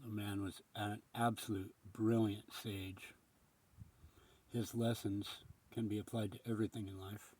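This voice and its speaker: Husky-voiced man musing in earnest. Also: fairly low pitch, flat delivery, measured pace, darkish tone. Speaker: spiritual speaker. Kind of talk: rambling reflective monologue.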